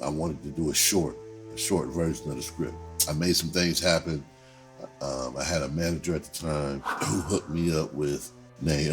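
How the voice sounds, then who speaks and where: A middle-aged American man telling personal stories casually, candid and streetwise, repeating words for emphasis. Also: extremely low pitch. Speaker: entertainer telling autobiographical stories. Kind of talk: casual spoken storytelling.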